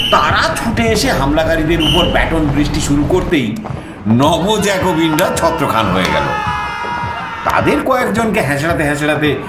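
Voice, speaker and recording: Music plays under a male raconteur in a suspense audio drama. He tells his travel adventure theatrically, with a very lively, mobile pitch and a roomy echo around the voice. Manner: expressive, theatrical. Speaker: storyteller. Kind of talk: dramatised audio story narration in first person. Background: background music.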